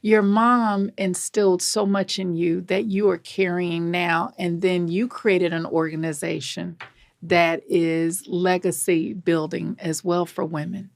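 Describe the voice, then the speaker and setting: Gravelly-voiced woman host. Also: lowish voice, flat delivery, measured pace, no echo, clean studio sound. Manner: warm, folksy, enthusiastic. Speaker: podcast host. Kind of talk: interview hosting.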